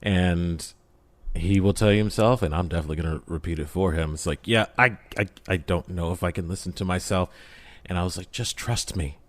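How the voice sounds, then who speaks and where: A man's voice: low voice, brisk. A man chatting casually as a podcast guest, with a jokey, self-deprecating manner. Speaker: podcast guest, audio drama creator. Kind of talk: animated casual podcast chat.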